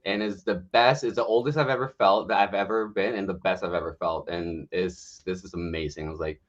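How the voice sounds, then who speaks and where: A man's voice: sits low, fairly even intonation, brisk, highs cut off. A man in his thirties from Mexico and the US, telling his story casually and candidly as a guest. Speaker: podcast guest, health coach. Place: remote online livestream call.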